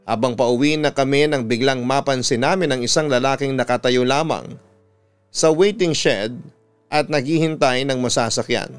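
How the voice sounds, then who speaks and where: A Filipino man narrating a listener's letter in the first person like a radio storyteller, in measured, deliberate phrases. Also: mid-range voice, smooth. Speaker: radio announcer and storytelling host. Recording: music playing behind the voice. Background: instrumental music.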